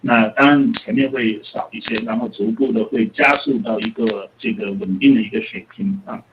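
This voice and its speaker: A man's voice: fairly low pitch, moderate pace. A man, a native Chinese speaker, answering analysts as a company executive in unscripted speech. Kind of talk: spontaneous earnings-call answers. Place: remote conference call. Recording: dull conference-call line with faint noise.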